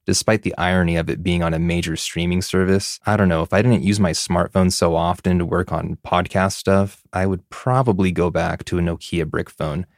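Deep-voiced American man, dry sound. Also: fairly even intonation, normal speed, hardly any pauses, dry recording. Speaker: podcast co-host. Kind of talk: casual podcast banter.

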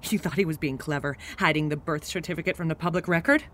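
A woman playing a bitter, vindictive grown woman in an audio drama, sharp and scornful in manner. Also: deep voice, fast. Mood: defiant. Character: bitter, vindictive older-generation woman feuding with powerful family.

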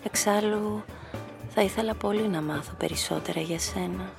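A woman's voice: medium pitch, vocal fry, music in the background, lightly acted. An actress playing a cool, calculating nightclub hostess, telling her story and speaking her lines in a composed, knowing radio drama style. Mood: coyly flirtatious. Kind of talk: first-person noir radio play narration and dialogue.

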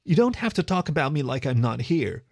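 A man's voice: on the high side, big pitch swings, normal speed, darkish tone, slightly rough, pitched above the performer's own voice, full character voice. A man voicing an awkward, indignant young fox boy who stammers and protests in a storybook.

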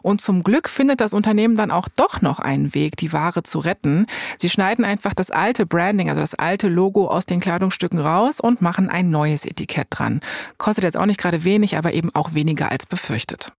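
A woman's voice: lowish voice, animated, brisk, creaky, no echo, clean studio sound. A young woman hosting a podcast, telling a scripted story in a colloquial, wry way with casual asides and bits of English slang. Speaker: podcast host. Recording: music bed behind the voice. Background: music.

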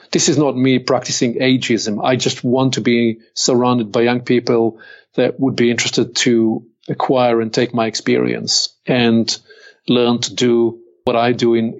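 Older Hebrew-accented male consultant, unhurried and reflective, on a slightly muffled remote line. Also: medium pitch. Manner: measured, deliberate, slows to stress points. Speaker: podcast guest, executive consultant. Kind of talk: reflective interview talk.

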